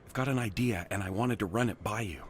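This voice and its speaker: An actor playing an eager, starstruck fan of a space hero in a video game, gushing and earnest. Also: male voice, lowish voice, fast, a little hiss or hum, natural voice.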